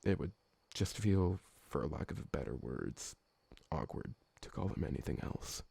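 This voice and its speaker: A man playing a gentle, awkward, polite old vampire servant who talks hesitantly and deferentially in an ASMR roleplay. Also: very deep voice, expressive, a little slow, vocal fry, breathy edge, no echo, exaggerated voice. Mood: gentle, tentative. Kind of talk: ASMR roleplay audio drama.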